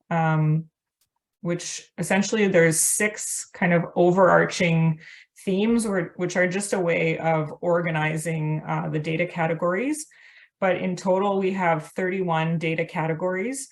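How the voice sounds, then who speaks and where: A woman's voice: on the low side, fairly even intonation, normal speed. A woman presenting slides at a workshop in a hesitant way, with frequent ums and uhs.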